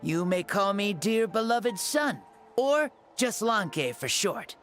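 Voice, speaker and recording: A man's voice: high voice, lively intonation, slow pace, a bit bright, exaggerated voice. A man voicing a playful, teasing revived ancient god in a video game. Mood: wry. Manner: teasing, wry. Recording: game audio with faint music.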